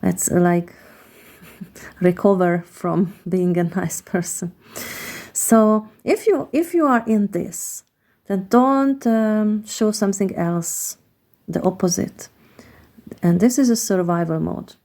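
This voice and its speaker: Hungarian-accented woman reading tarot cards, hesitant and unhurried, dark gravelly voice. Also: medium pitch. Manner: hesitant, searching for words with many pauses. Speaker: tarot card reader. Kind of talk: slow, reflective card reading.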